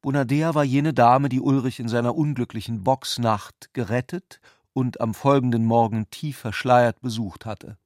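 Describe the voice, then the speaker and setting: A man reading scripted literary narration for a radio play in a measured, articulate way. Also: medium pitch, fairly even intonation, a little mellow, no echo, studio quality.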